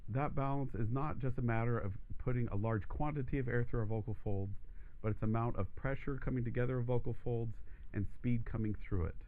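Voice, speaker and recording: A man teaching a voice lesson, explaining steps calmly and steadily, his voice croaky from allergies. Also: mid-range voice, a little fast, phone-quality sound. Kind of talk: instructional demonstration.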